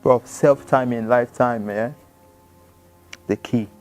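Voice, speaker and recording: A man's voice: mid-range voice, takes it slowly, soft background music. A man, chatting casually and slangily on a podcast, often acting out quotes. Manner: slangy, animated storytelling with acted quotes. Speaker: podcast host. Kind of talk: casual chat.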